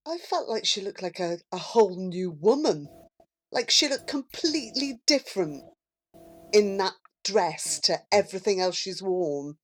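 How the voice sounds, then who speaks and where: A woman's voice: medium pitch, expressive, a little slow. A woman chatting casually as a podcast panellist. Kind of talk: casual chat.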